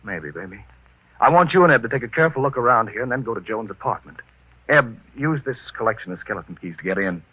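An actor playing a calm, suave married private detective, probing and soothing, in 1940s radio style on an old recording. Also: male voice, mid-range voice, quick pace, breathy edge, dry recording, low-quality recording. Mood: brisk, investigating.

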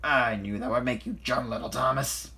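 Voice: mid-range voice, normal speed, bright, forceful tone, close to an everyday voice. A storyteller voicing a teasing male diesel engine with a hearty, piratey manner.

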